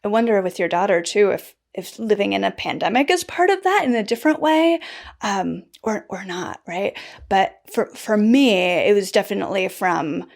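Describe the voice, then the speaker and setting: Podcast guest, woman, candid and reflective, with a gravelly edge to her voice. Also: medium pitch, lively intonation, normal speed. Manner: thoughtful, candid, soft-spoken with hesitations. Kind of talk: casual conversation.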